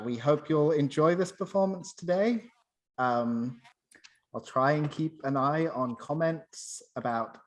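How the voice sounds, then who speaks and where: Low-key host with a mid-pitched voice. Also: rough recording. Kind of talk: informal live welcome.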